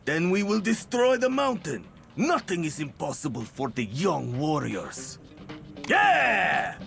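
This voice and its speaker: A man's voice: on the high side, wildly swooping pitch, takes it slowly, vocal fry, music in the background, full character voice. A man playing an eager, boastful young warrior devoted to a leader in a video game, speaking with fervor. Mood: rousing. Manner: fervent, boastful.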